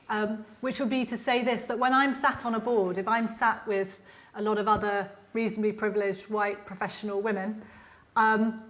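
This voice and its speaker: A woman lecturer answering audience questions at a public lecture in a measured, reflective way. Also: medium pitch.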